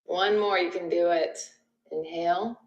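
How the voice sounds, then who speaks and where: A woman's voice: lowish voice, steady tone, measured pace, muffled recording. A woman leading a yoga class, giving step-by-step cues in an encouraging, conversational way. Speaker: yoga instructor. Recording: roomy echo with music behind. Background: music.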